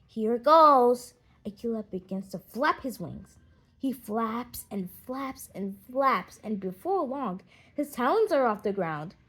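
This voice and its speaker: A woman narrating a children's audiobook in an expressive storytelling manner. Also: a little high, unhurried, slightly rough.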